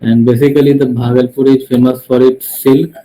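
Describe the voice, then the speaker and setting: Young man, dark flat voice. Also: mid-range voice, brisk, poor audio. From Bihar, India. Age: young adult. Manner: plain, slightly hesitant. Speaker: student. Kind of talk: self-introduction.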